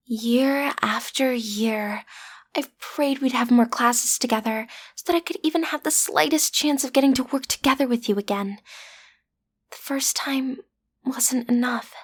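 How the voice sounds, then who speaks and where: A woman's voice: high-pitched, fairly bright tone, heavily acted. A young woman playing a lovestruck schoolgirl villain's daughter in an intimate audio roleplay, hesitant and playfully menacing, often slipping into a whisper. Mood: lovestruck, possessive. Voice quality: breathy, often dropping to a whisper. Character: lovestruck teenage schoolgirl, villain's daughter, awkward amateur kidnapper. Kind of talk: audio roleplay acting, intimate direct address to listener.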